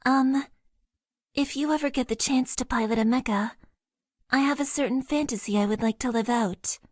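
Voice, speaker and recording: A formal, emotionally awkward young mecha-pilot girl for a dating game. She talks earnestly and deadpan in a slightly raised voice, stumbling into nervous stammers. The studio sound is close and dry. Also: high voice, moderate pace, no echo. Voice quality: stiff, overly formal phrasing. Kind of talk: game voice lines. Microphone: close studio mic, dry.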